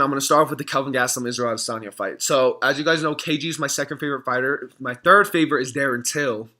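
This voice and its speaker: A young man with a hoarse voice, talking casually to camera in a reaction vlog, rambling, clearing his throat often. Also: medium pitch, sharp tone, a little hiss or hum. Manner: rambling, frequent throat clearing and filler words. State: hoarse from screaming. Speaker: MMA fan vlogger.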